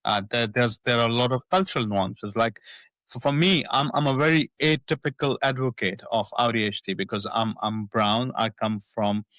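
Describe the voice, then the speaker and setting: Middle-aged Pakistani-accented male guest, measured and repetitive, dry close sound. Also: mid-range voice, a bit bright, no echo. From northwest Pakistan. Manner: thoughtful, frequent repetitions and restarts. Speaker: psychiatrist guest. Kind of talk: reflective interview talk.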